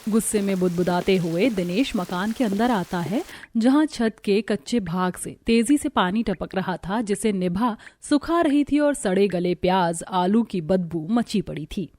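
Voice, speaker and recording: A woman's voice: medium pitch, lively intonation, a little slow, darkish tone. A woman reading scripted narration for a Hindi cartoon family story.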